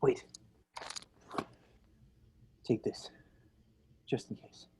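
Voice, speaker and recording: Jittery male passenger, noisy recording male actor. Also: very slow. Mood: cautious. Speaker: actor in a screenplay table read. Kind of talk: dramatic screenplay reading.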